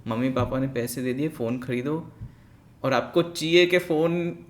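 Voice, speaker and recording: A man's voice: mid-range voice, unhurried. A man hosting a casual conversation, asking questions and murmuring agreement while his guest talks. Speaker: host. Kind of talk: interviewing.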